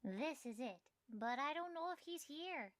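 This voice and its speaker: A woman's voice: sits high, expressive, unhurried, a bit bright, slight background noise. A woman voicing a small, caring, anxious companion creature in a fan-dubbed game cutscene. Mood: gentle concern. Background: music under the dialogue.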